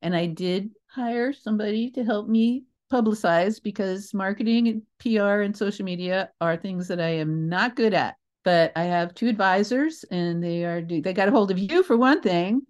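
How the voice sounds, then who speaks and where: A woman's voice: medium pitch, normal speed, hardly any pauses, darkish tone. A woman speaking as a guest in a conversational interview, reflective, wandering into asides and correcting herself as she goes. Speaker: guest, theatre writer and creator.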